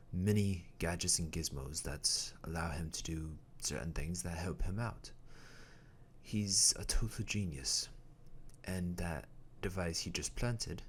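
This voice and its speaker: A man narrating a scripted story in a measured way, often pausing mid-sentence. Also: sits low. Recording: faint background noise.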